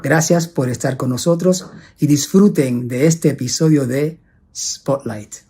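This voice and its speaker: A man's voice: mid-range voice, mellow, husky. A man from Puerto Rico giving a formal scripted introduction as host of a theater's artist series. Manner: formal, measured, switches into Spanish greetings.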